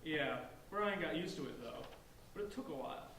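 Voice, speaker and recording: A man's voice: on the high side, lively intonation, moderate pace, creaky, fairly bright tone. A man playing a polite, bookish fifteen-year-old outsider with an earnest, wordy manner in a staged play reading. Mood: reflective. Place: large echoey indoor room, distant microphone. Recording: echoey room from far off, faint noise.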